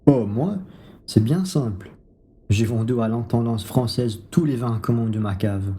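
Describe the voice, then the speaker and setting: A man's voice: medium pitch, a little slow, dark tone, natural voice. A man in a student radio play, acting a blunt, complaining bourgeois wine merchant. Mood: self-satisfied. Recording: clear background noise.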